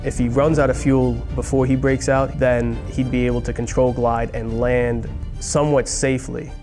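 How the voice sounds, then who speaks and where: A man who works as an air traffic controller, explaining an emergency in a measured interview. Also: medium pitch, level tone, darkish tone, soft background music. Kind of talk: interview recounting an event.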